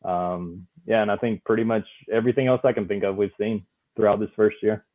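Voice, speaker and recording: A man's voice: fairly low pitch, fairly even intonation, brisk. A man who is a guest player, chatting casually and laughing easily. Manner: laid-back, laughs often. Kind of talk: casual chat. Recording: tinny phone-like line.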